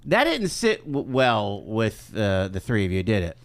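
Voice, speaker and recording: A man's voice: fairly low pitch, huge pitch swings, a little slow, no room sound. A man hosting a live talk show, interviewing guests in a studio. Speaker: radio talk show host. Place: radio studio.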